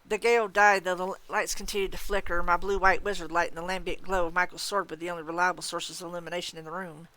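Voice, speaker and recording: Low-voiced woman reading dramatically. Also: fast, hardly any pauses, poor audio. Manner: expressive, suspenseful. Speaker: story reader. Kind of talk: dramatic audiobook-style narration.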